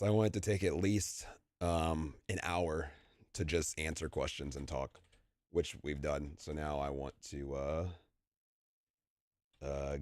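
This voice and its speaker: A young man chatting casually on a live stream, rambling and stammering through fillers while his voice is going and he keeps clearing his throat. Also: very deep voice, darkish tone, dry recording, studio quality.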